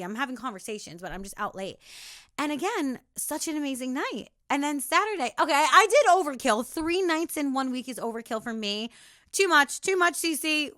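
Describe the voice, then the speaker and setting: Raspy high-voiced woman, bright and emphatic. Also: dry recording, clean studio sound. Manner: emphatic, preachy, talks straight to the listener. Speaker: podcast host. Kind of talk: motivational monologue.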